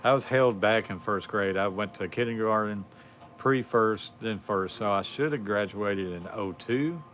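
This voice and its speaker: A man's voice: fairly low pitch, a little slow, a bit bright. A man, chatting casually on a livestream in a rambling, laid-back way with lots of fillers. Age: 40s. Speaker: livestream host. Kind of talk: casual chat.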